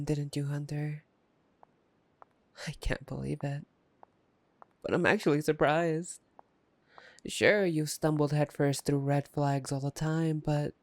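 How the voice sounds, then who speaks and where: A woman's voice: low-pitched, a little mellow, no echo. A woman playing a taunting, playful, predatory vampire in an ASMR roleplay, with a teasing, mocking drawl. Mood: teasing, gloating. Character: taunting, playful, predatory vampire gloating over a captive hunter. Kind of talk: ASMR roleplay audio drama.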